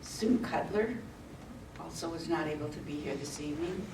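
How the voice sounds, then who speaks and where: A woman reading a list of names at a community meeting in a slow, halting way, stopping to add short remarks. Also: low voice, recorded from a distance. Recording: echoey room audio recorded from afar, with noise.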